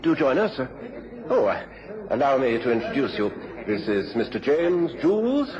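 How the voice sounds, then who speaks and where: An actor playing a genial, hospitable British gentleman, the detective's brother, in a radio drama. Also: medium pitch, sing-song, moderate pace, natural voice. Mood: jovial, welcoming. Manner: jovial, clubbable. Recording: dull radio recording, club chatter behind.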